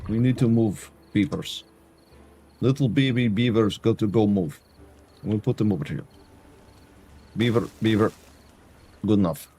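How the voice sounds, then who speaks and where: A man's voice: on the low side, moderate pace, warm tone, a little hiss or hum. A Canadian man streaming a game, chatting to his viewers in a put-on foreign accent that drops articles. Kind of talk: casual gameplay chat with viewers.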